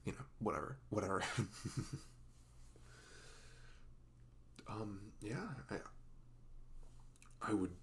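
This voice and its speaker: A man playing a sweet, goofy, flustered young boyfriend in an intimate ASMR roleplay, stammering, apologetic and nervously tender. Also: fairly low pitch. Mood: shy, eager. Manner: stammering, halting, apologetic, breaking into soft nervous murmurs.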